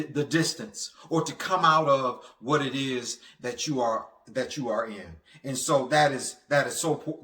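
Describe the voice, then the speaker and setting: Echoey, bright-voiced male preacher. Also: fairly high pitch. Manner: halting, stammering, repeats phrases for emphasis. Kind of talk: sermon, impassioned motivational preaching. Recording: echoey, reverberant room sound.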